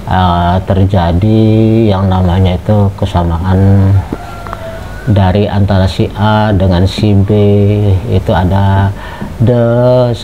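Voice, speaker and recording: A middle-aged lecturer talks to his students in a low, easygoing voice, pausing on frequent fillers and turning to ask them questions. The recording sounds muffled, with a clear wash of background noise under him. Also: fairly even intonation. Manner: conversational, many hesitant fillers, asks students questions.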